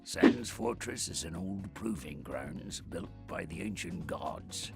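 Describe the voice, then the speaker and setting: A gruff blacksmith from a fantasy game. His voice is low and gravelly, grave and measured, with music clearly playing behind it. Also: expressive, heavily acted. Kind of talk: video game character acting.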